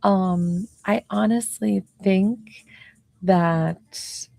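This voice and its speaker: A woman in her forties, an intuitive healer, chatting casually on a live stream, talking softly with a slightly hoarse voice and many fillers. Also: mid-range voice, very slow.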